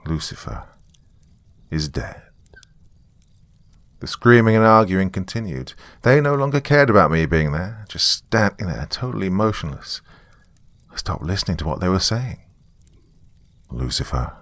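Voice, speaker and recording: Low-voiced man narrating a dark story. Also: no echo. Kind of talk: dramatic scripted first-person narration.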